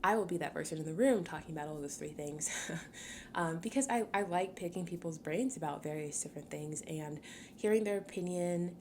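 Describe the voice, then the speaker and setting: A woman in her twenties, from Cameroon, hosting a solo podcast in a chatty, upbeat way, laughing often and filling pauses with ums. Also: on the low side, a little mellow, slight background noise. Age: 20s. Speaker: podcast host.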